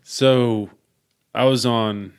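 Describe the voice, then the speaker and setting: Loud, outgoing Midwestern man, smooth voice. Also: mid-range voice, steady tone, drawn-out words. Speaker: podcast host. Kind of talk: casual conversational interview.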